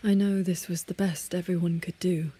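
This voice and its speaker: An actress playing a troubled woman in a video game who whispers her doubts softly. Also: lowish voice, little pitch movement, normal speed, breathy edge. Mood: doubtful.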